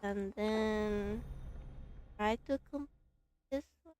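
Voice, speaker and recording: A woman's voice: medium pitch, slow, a little mellow, a little hiss or hum. A woman game streamer giving casual reactions as she plays. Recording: game music behind the voice.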